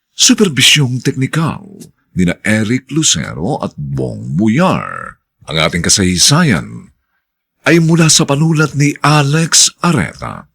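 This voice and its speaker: Mabagal na lalaking tagapagsalaysay, malawak ang tono. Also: male voice, on the low side, vocal fry, fairly bright tone, slightly rough. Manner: formal, dramatic, drawn-out. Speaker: radio drama announcer and narrator. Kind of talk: theatrical scripted announcing.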